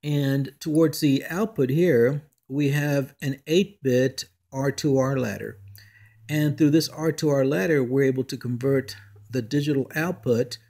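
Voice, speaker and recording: A man giving an unscripted technical demonstration as an electronics hobbyist, explaining in a measured, halting way. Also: mid-range voice, warm tone.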